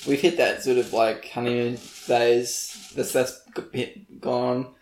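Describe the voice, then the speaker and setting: A man's voice: medium pitch, little pitch movement, takes it slowly, darkish tone. A young man co-hosting a podcast in casual chat, rambling, laughing and poking fun at himself. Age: young adult. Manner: rambling, laughing, self-mocking. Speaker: podcast co-host. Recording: echoey room.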